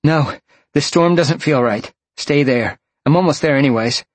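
A man's voice: medium pitch, a little fast, slightly rough, no room sound, voice pushed up, close to an everyday voice. A man voicing a brave, resolute young woman in an audiobook, urgent in manner. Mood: urgent, panting.

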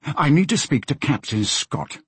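A man's voice: on the high side, big pitch swings, unhurried, breathy edge, no echo, exaggerated voice. A man voicing a tall, intense diplomat in a storybook, formal, urgent and insistent. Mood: impatient. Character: tall, intense, impatient male diplomat on secret mission.

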